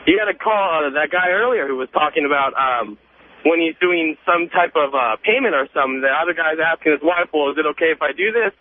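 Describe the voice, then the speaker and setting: A man's voice: on the high side, lively intonation, a little fast. A nineteen-year-old American man calling a radio show, chatting casually in a cocky, emphatic, rambling way. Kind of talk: casual phone-in chat. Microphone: telephone. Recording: narrow noisy phone line on radio.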